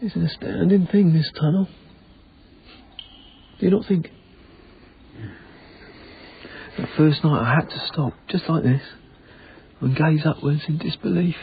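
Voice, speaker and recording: A British actor playing an earnest, emotional young Victorian working man in a radio drama, with halting, formal phrasing. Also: on the high side, gravelly. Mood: awestruck. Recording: narrow tinny band, faint background noise.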